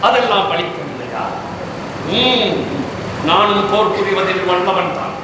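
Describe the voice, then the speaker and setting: A man acting as the crafty uncle Shakuni on stage, taunting his foe slowly in a stage play. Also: high-pitched. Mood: defiant. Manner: slow, taunting, mocking. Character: scheming crafty male uncle warrior Shakuni. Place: large auditorium stage. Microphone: distant room mic. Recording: echoey hall from far off, loud noise.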